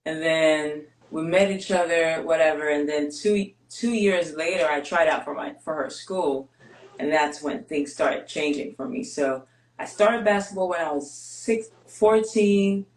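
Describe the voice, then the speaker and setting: Greek-born woman guest with Greek as her first language, candid and measured, roomy echo. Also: low-pitched. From Greece. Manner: candid, steady, measured with pauses. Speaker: guest, basketball player. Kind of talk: reflective interview storytelling.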